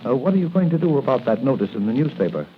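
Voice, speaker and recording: A man's voice: mid-range voice, big pitch swings, very fast, close to an everyday voice. Man playing kindly doctor with swooping intonation. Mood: thoughtful. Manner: hesitant, fatherly, concerned. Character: kindly older male apothecary doctor and confidant. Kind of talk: 1940s radio acting. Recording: noisy, muffled 1940 radio recording.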